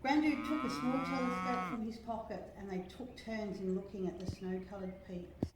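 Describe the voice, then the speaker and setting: A woman reading a children's picture book aloud in an expressive, dramatic storytelling manner, drawing out key words. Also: medium pitch, moderate pace. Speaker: library storytime reader. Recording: very echoey room, faint noise.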